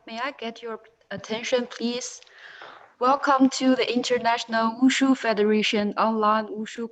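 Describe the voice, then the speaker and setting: A woman delivers a formal welcome and introduction for an online class. Her voice is a little higher than average, and she reads steadily from a script. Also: rough recording. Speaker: class presenter. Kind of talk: scripted announcement.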